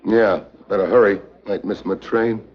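A low-voiced, hard-boiled American agent, acted by a man, clipped and sardonic. The sound is a dull 1950s drama soundtrack, and he stays cool and dry throughout. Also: steady tone, moderate pace, natural voice.

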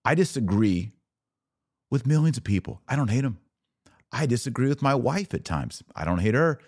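A man's voice: mid-range voice, big pitch swings, moderate pace, darkish tone. A middle-aged American man hosting a podcast, giving emphatic, argumentative commentary with rhetorical questions. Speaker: podcast host. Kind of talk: opinion commentary on played clips.

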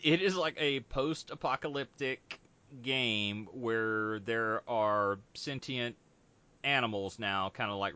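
A man's voice: mid-range voice, highs cut off. A man hosting a podcast in casual chat, rambling with lots of fillers. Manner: rambling, relaxed, many fillers. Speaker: podcast host.

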